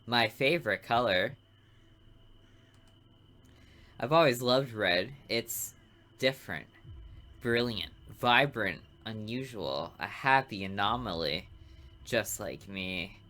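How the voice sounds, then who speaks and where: A man voicing a smug, artistic serial killer who narrates a visual novel with deliberate, theatrical, morbid relish. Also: on the low side, expressive, bright, forceful tone. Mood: savoring, proud.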